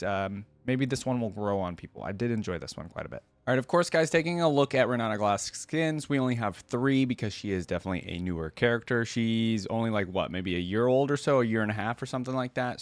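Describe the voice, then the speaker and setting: A man's voice: mid-range voice, no echo. A man hosting a reaction video, talking casually and directly to viewers in a rambling, conversational way and often hedging his opinions.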